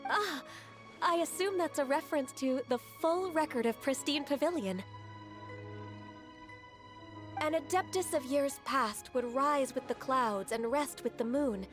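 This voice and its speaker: A woman voicing a gentle, modest young half-immortal in an anime video game, speaking politely and deferentially. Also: high-pitched, normal speed, soft background music, natural voice. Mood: thoughtful. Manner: polite, soft-mannered, deferential.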